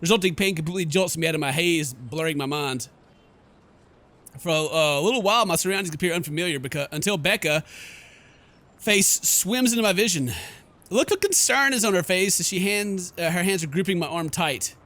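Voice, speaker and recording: A theatrical, excitable man streaming a horror game, switching between dramatic read-aloud and jokey casual commentary. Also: high-pitched, a little fast. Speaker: gaming streamer. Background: game music.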